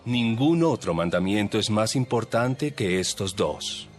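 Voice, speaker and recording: A man's voice: on the low side, lively intonation, unhurried, faint music behind. A man playing an authoritative religious teacher in a dramatized audio Bible, instructing followers in a didactic, emphatic way. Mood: solemn teaching.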